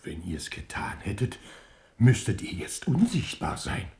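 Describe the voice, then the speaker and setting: A pious, fearful innkeeper in a radio play. His delivery is slow and halting, yet agitated, with pitch leaping wildly between hushed suspicion and outcry. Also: wildly swooping pitch, creaky, exaggerated voice. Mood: wary, uneasy. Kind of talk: German radio drama acting.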